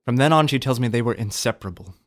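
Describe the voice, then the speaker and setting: An actor playing a formal, sceptical art dealer narrating an uncanny tale in a radio drama, with deliberate delivery. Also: male voice, medium pitch, animated, close to an everyday voice. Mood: reserved, recounting.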